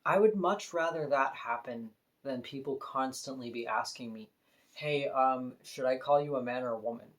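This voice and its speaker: A woman with a deep voice, talking frankly and casually to camera in an opinion vlog, now and then laughing softly. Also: moderate pace. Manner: frank, conversational, with soft laughs. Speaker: vlogger.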